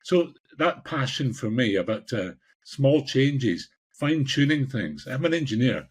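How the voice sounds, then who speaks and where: Husky older Scottish man, passionate. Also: medium pitch, very animated, unhurried. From Scotland. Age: 60s. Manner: passionate, emphatic, repeats phrases for emphasis. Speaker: executive coach, podcast guest. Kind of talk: conversational interview answers.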